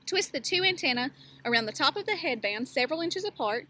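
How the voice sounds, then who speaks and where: A woman teacher reading a picture book aloud to young children, pausing to explain things and ask them questions. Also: sits high, expressive, bright, forceful tone.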